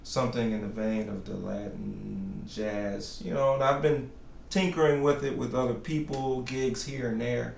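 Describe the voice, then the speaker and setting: Gravelly-voiced man in casual interview. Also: mid-range voice, steady tone, normal speed, a little mellow. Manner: laughs often, affirming interjections. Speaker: interviewer and band member. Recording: roomy distant mic with faint noise.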